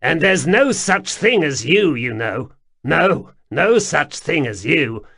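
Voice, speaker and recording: A man voicing an excitable, wheedling grimy male cave-dweller who talks loudly and pleads. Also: a little high, slow, gravelly, voice pushed up, full character voice. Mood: agitated.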